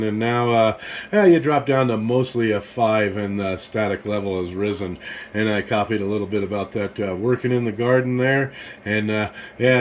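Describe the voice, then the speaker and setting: An American man with a fairly low voice talks casually as a ham radio operator. He rambles hesitantly through his thoughts, with slight background noise on the recording.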